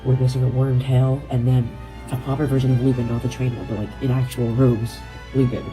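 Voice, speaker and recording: A man's voice: mid-range voice, little pitch movement, fairly quick, over music. A young man with a congested, stuffy nose, rambling casually with many fillers and self-corrections. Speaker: LEGO video creator. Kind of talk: casual speculation vlog.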